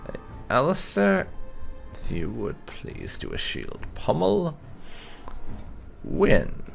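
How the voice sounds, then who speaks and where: A man's voice: on the high side, wildly swooping pitch, slow, vocal fry, faint music behind. A man hosting a gaming playthrough, giving dry, sardonic roleplay commentary and reading dialogue choices aloud. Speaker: gaming channel host.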